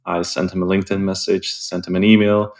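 A young man from Belgium, a sales rep, calmly answering interview questions with frequent ums and uhs. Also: low-pitched, brisk. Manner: calm, hesitant with frequent ums. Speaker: guest, sales development rep.

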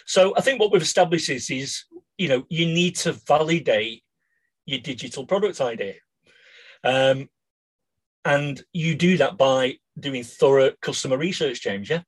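A man with a croaky voice, co-hosting a casual business podcast and often checking in with "yeah?". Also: fairly high pitch, measured pace. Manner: addresses listeners as guys, ends lines with "yeah?". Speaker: podcast co-host.

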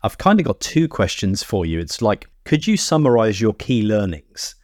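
A man's voice: on the low side, very animated, normal speed, darkish tone, no echo, studio quality. A man hosting an interview podcast, asking questions in a conversational way.

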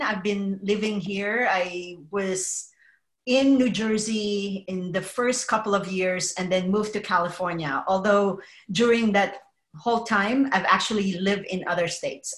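A middle-aged woman from the Philippines speaks as a podcast guest. She talks in an earnest, measured way, often pausing with small fillers. Her voice carries a noticeable room echo. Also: medium pitch, fairly bright tone. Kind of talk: casual interview conversation.

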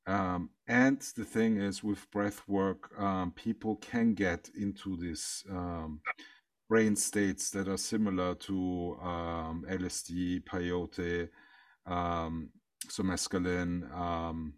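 Deep-voiced man, German native, off-mic. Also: level tone, dry recording, clean studio sound, recorded from a distance. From Austria. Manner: halting, many ums and repeated words. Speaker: counselor and breathwork guest. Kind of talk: podcast interview.

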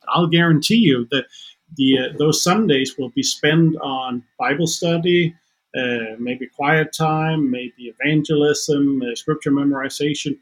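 Husky-voiced earnest man, Danish native. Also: fairly high pitch, rough recording. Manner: earnest, halting with repeated restarts. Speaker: guest counselor. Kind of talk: reflective faith conversation.

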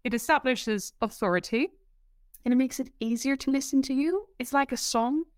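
Woman, Dutch accent, podcast guest, giggly, expressive, higher voice, dry sound. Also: moderate pace, no echo. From Netherlands. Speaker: presentation coach, guest. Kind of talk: casual podcast interview.

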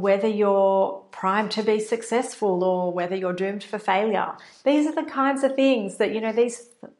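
Australian woman, coach type, chatty and earnest, mid-pitched, roomy echo. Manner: chatty, earnest, explains with fillers. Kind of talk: casual teaching monologue.

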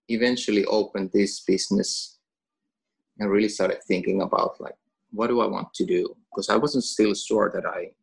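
A man's voice: lowish voice, creaky, slightly rough, low-quality recording. A Finnish man who is a gym owner and coach, chatting casually in English with a Finnish accent and laughing between phrases. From Finland. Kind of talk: casual podcast conversation.

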